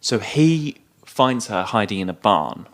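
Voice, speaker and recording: Low-voiced man chatting casually. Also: expressive, unhurried. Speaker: podcast co-host. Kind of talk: casual film discussion, retelling a plot.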